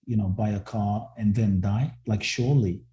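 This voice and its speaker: Guy telling his life story slow and earnest, deep mellow tone, echoey room. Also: fairly even intonation, highs cut off. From born in Ghana, grew up in London. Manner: earnest, reflective, repeats words when starting sentences. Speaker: guest, inspirational speaker. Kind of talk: reflective storytelling in conversation. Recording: roomy echo.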